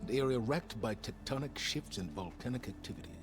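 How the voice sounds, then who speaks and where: A man playing a measured, scholarly adviser in a video game, speaking in precise, formal, expository phrases. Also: medium pitch, animated, over music, lightly acted. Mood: measured, explanatory. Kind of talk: video game dialogue acting.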